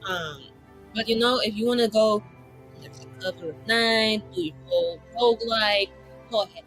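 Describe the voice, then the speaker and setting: A woman's voice: fairly high pitch, normal speed, fairly bright tone, faint music behind, low-quality recording. Playful woman in casual tabletop roleplay.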